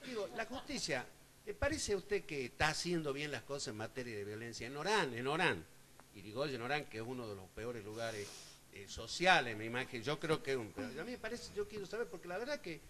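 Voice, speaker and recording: A man's voice: mid-range voice, a little fast. Rambling man on an interview panel. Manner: rambling, restarts questions, lively intonation. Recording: noisy room recording.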